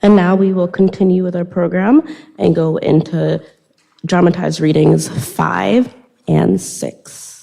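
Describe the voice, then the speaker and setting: A woman's voice: lowish voice, fairly even intonation, slow, gravelly, mellow. A woman hosting a live event, making formal announcements and introducing the programme. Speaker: event host. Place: university recital hall.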